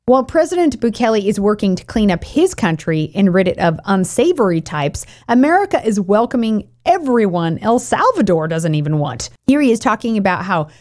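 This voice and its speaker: Animated woman reading commentary. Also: medium pitch, brisk, few pauses. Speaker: show co-host. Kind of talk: scripted news commentary.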